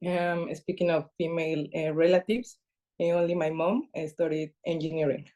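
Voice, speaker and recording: A woman's voice: low voice, steady tone, normal speed, dark tone. A woman in her twenties from Mexico City, a physics graduate, introducing herself with many fillers. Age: 20s. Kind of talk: panel discussion. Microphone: video call.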